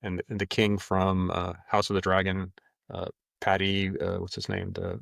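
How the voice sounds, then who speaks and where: A man hosting a casual podcast, talking in a relaxed, rambling way and swearing now and then. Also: sits low, normal speed, creaky, dry recording, clean studio sound. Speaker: podcast host. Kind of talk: casual chat.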